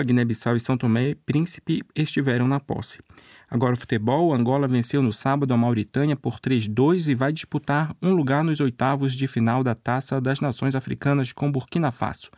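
A man's voice: mid-range voice, a little mellow, no room sound, clean studio sound. A man reading a scripted radio news bulletin as a news presenter. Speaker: news reader.

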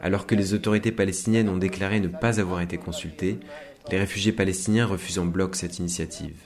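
A man's voice: low voice, steady tone, quick pace. A man reading scripted news narration for a radio report. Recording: crowd sound behind the voice.

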